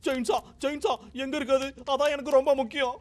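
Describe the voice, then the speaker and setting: Very high-pitched, blustering cartoon logger. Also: male voice, flat delivery, fast, a crowd in the background. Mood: fretful. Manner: blustering, whining, exclamatory. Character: hot-tempered, hard-working male logger, comic villain. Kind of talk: animated cartoon dub in Tamil. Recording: loud music over the voice.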